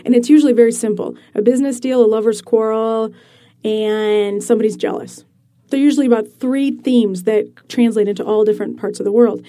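A woman author being interviewed, explaining her ideas in an animated way and laughing easily. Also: fairly high pitch, a little fast. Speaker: author guest. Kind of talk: radio interview.

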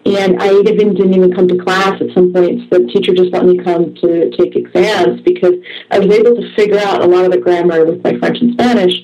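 A woman's voice: fairly low pitch, level tone, fairly quick, crowd noise, low-quality recording. Chatty woman, eager storyteller. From former Soviet Union, raised in the US. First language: Russian. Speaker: interview guest, author. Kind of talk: casual interview answers.

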